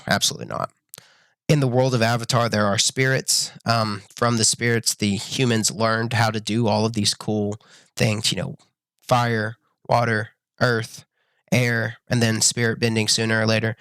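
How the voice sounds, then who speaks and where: A young man reviewing a show to camera in a casual, earnest way, full of ums and restarts, his voice a little raspy from being sick. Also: mid-range voice, a bit bright, no room sound, clean studio sound. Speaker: YouTube reviewer.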